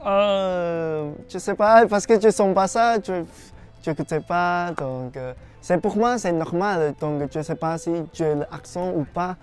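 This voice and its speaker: A woman's voice: fairly low pitch, slow, darkish tone, faint music behind. A woman who is not a native French speaker, answering unsure and hesitantly in an interview.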